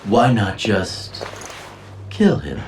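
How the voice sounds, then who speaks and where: Echoey, slow-talking theatrical schemer. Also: male voice, lowish voice, very animated, distant mic, slight background noise, full character voice. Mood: sly, provoking. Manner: florid, drawn-out, teasing oratory. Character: theatrical, smooth-talking male schemer goading a crowd. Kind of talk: dramatic video game cutscene acting.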